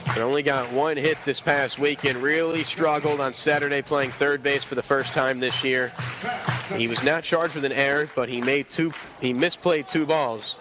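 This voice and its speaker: Higher-voiced young male baseball announcer, loud background noise. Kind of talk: live radio sports commentary. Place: outdoor baseball stadium broadcast booth. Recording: live outdoor broadcast with loud ballpark noise. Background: loud stadium ambience.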